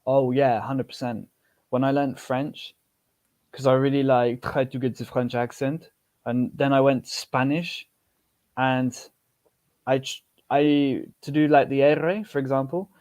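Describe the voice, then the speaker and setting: A young man with a British accent talks casually on a podcast, rambling and restarting his sentences. The sound is dry, with no room echo. He laughs easily and keeps his voice at a middle pitch. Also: fairly even intonation, measured pace, a little mellow. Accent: British English. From United Kingdom. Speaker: podcast guest, polyglot.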